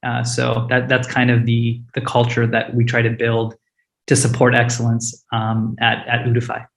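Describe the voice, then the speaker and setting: Earnest founder, flat delivery, echoey room. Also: male voice, medium pitch, moderate pace, gravelly. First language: Hindi. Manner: earnest, open, many fillers and restarts. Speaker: startup founder panelist. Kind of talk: panel discussion answers.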